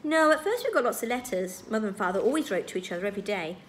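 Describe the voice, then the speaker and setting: A woman's voice: medium pitch, expressive, rapid-fire, slight background noise, lightly acted. A woman voicing an anguished, self-doubting young teenage girl in a storybook, with a trembling, emphatic delivery. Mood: earnest, defensive.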